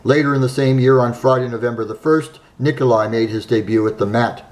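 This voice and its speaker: Man narrating in a measured, admiring tone. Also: mid-range voice, fairly even intonation, a little mellow. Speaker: host. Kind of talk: scripted narration.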